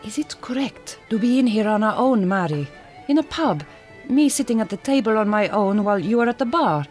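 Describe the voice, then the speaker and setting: A woman's voice: mid-range voice, animated, a little fast, darkish tone, soft background music, lightly acted. An actress playing a Hungarian immigrant woman, a tender but prim mother, in a radio drama with precise, formal phrasing and a Hungarian accent. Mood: hesitant, proper. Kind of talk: radio drama acting, intimate monologue and dialogue.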